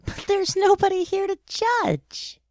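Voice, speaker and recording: A woman's voice: very high voice, slow, a little mellow, no room sound, studio quality, exaggerated voice. A woman voicing a polite, curious young boy prince in a storybook dramatization, speaking in an earnest, childlike way. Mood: puzzled, questioning. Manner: earnest, childlike, questioning delivery.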